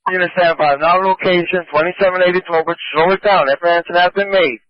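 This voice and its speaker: A man's voice: sits high, fairly even intonation, fast talker, lo-fi sound. A male police radio dispatcher repeating terse orders to all units.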